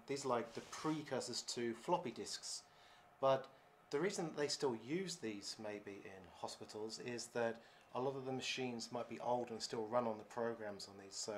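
A man's voice: fairly low pitch, a little hiss or hum. A man casually narrating as he explores an abandoned hospital, chatty and curious, explaining what he finds. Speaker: urban explorer host.

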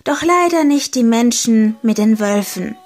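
A woman reading a short homemade fairy tale aloud, slow and deliberate, leaning gently into key words. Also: a little high, expressive. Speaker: storyteller. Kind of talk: slow storybook narration.

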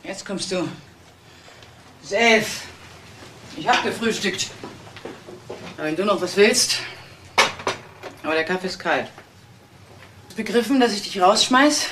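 Actress as a nagging, fed-up woman, lively pitch. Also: measured pace, pauses often, background noise, lightly acted. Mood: scornful. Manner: sharp-tongued, scornful. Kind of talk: German crime radio drama acting.

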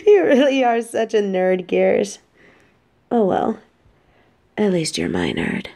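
A woman's voice: mid-range voice, wildly swooping pitch, slow, warm tone, exaggerated voice. A woman playing an affectionate, teasing supervillain girlfriend in an intimate roleplay audio drama, speaking tenderly and playfully. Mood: fond teasing.

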